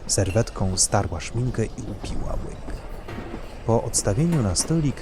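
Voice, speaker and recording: A man's voice: fairly low pitch, expressive, unhurried, background noise. A man reading scripted literary narration as the narrator of an audio drama.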